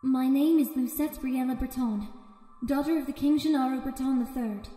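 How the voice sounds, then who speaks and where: An echoing, mellow, high voice of a proud, forlorn young crown princess, acted by a woman. It is a scripted visual novel monologue with long reverb. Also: steady tone, moderate pace, lightly acted. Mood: solemn. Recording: echoey reverb effect over music.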